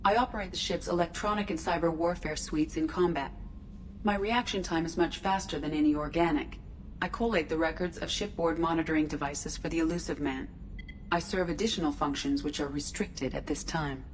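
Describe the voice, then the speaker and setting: A woman voicing a starship artificial intelligence in a video game, measured, precise and detached in manner. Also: low-pitched, lightly acted. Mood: calm, detached. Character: calm, formal female starship artificial intelligence. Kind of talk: scripted video game dialogue. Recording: clear background noise, ship ambience.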